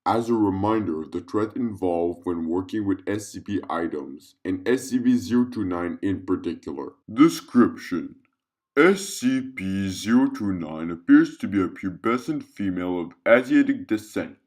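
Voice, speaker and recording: A man reading a scripted, clinical containment report in a formal, measured way as narrator of a fiction audio log. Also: sits low, expressive, a little mellow.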